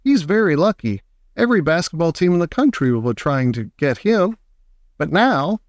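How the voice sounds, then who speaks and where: A man voicing an eccentric old chocolate factory owner in a storybook reading, kindly but brisk and emphatic. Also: fairly high pitch, very animated, no room sound, studio quality, voice pushed up. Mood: brisk, dismissive.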